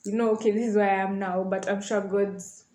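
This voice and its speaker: A woman's voice: medium pitch, level tone, a little fast, darkish tone. A woman of about twenty with a Kenyan accent, talking casually as a podcast panelist. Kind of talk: casual group chat. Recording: echoey room.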